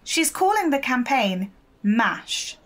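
Young female reporter, fairly high voice, eager, polite, inquisitive, audio drama. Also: very animated, slow pace, a bit bright, heavily acted. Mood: earnest, keen. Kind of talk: naturalistic audio drama acting.

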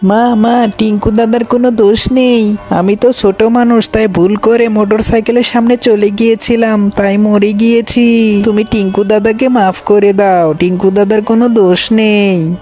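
Cartoon ghost child played by a man: pleading little girl, high pitch, flat delivery. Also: moderate pace, lightly acted. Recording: music behind the voice.

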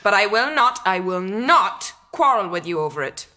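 Radio drama: proud young lady, brisk, articulate, defiant, crisp forceful tone at mid pitch. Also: sing-song. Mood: defiant, indignant. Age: young adult. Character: headstrong, proud, quick-tongued young lady.

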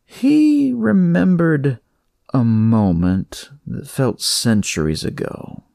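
A man narrates horror fiction at a slow pace. His mid-pitched voice has a creaky edge and moves with lively intonation. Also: no echo, studio quality. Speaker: story narrator.

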